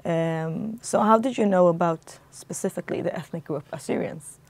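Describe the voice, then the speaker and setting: Low-voiced woman hosting an interview. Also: normal speed, gravelly, a little mellow. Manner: attentive, frequent backchannel hums. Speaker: talk show host.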